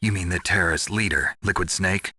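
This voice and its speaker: An actor playing a gruff, world-weary special forces soldier in a video game, terse and deadpan with a gravelly, husky voice. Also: male voice, sits low, fairly quick, dry recording, studio quality, full character voice. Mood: questioning, guarded. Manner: terse, deadpan, hard-bitten. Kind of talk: dramatic video game voice acting.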